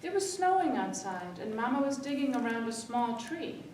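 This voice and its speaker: A woman's voice: big pitch swings, moderate pace, a little mellow. A woman reading aloud to children as a library story-time reader, expressively acting out the dialogue. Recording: echoey room, distant mic, faint rumbly noise.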